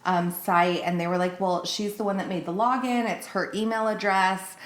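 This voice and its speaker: A woman's voice: mid-range voice, fairly even intonation, moderate pace, a little hiss or hum. A woman telling personal anecdotes in casual conversation, acting out what was said, with a roomy echo on her voice. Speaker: podcast speaker. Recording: roomy, echoing sound.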